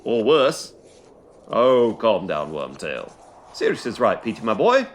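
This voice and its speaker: A man's voice: lowish voice, huge pitch swings, unhurried, slight background noise. A man playing a cocky, teasing schoolboy wizard with flippant, mock-posh banter in an audio drama.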